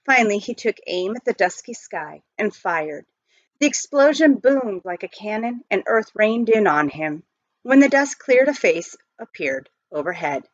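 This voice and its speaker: Animated woman reading a story, slightly muffled. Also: medium pitch, measured pace, no room sound. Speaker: storybook reader. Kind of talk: expressive scripted narration of a children's novel.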